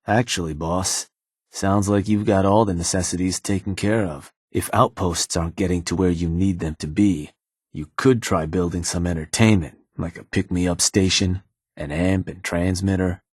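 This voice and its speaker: A gruff husky raider lieutenant. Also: male voice, low-pitched, fairly even intonation, moderate pace, a little mellow, no echo. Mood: matter-of-fact. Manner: terse, businesslike, dry. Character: gruff male raider lieutenant, laconic, world-weary. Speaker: game character. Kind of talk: video game voice lines.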